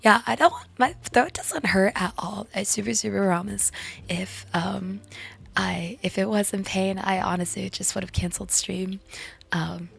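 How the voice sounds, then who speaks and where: A young woman streaming a casual chat with viewers, hoarse after losing her voice, raspy and breathy, often giggling and slipping into whispers. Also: mid-range voice, unhurried, fairly bright tone. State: hoarse, lost voice.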